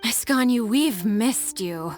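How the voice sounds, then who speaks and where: A woman's voice: on the high side, lively intonation, slow pace, darkish tone, faint music behind. A woman playing a weary young office worker in a video game, effusive and admiring. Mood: adoring.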